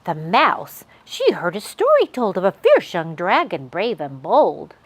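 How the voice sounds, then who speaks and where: A woman's voice: on the low side, wildly swooping pitch, unhurried, dry recording. A woman hosting a children's read-aloud, telling a picture-book story expressively to young viewers.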